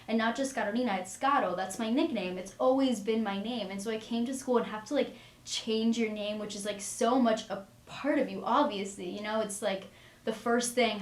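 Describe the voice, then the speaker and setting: 20-something college girl chatting away, lots of "like", echoey room. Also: a little high. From Miami, US. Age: 20s. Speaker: college student. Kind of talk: interview answers. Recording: roomy.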